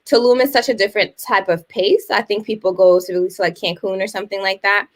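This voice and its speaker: A woman in her thirties co-hosting a casual chat show, telling stories animatedly and jumping up high when she exclaims. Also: steady tone, a little fast. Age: 30s. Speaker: co-host.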